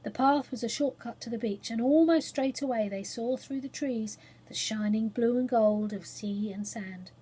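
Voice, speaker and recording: High, slightly husky woman storyteller. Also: moderate pace, hardly any pauses, warm tone, low-quality recording. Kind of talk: expressive children's story narration.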